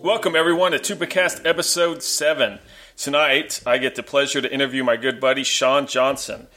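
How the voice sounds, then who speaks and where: A man's voice: mid-range voice, steady tone, brisk, bright, forceful tone, soft background music. A man hosting a podcast, interviewing a friend in a friendly, easygoing way. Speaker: podcast host. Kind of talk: casual interview chat.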